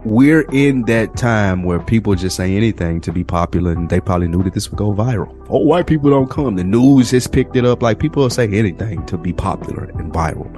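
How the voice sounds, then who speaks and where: A man's voice: fairly low pitch, animated, a little fast, few pauses, darkish tone, faint music behind. An American man co-hosting a podcast in casual, informal conversation. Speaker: podcast co-host.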